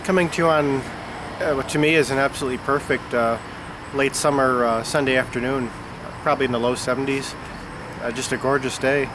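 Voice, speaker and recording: A man's voice: medium pitch, fairly even intonation, fairly quick. A man with a stuffed-up nose, chatting casually about music outdoors and rambling with many fillers. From Chicago area. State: congested, stuffed up. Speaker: record-collecting vlogger. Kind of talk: casual unscripted chat to camera. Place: outdoors on a summer afternoon. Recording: outdoor insect and traffic noise.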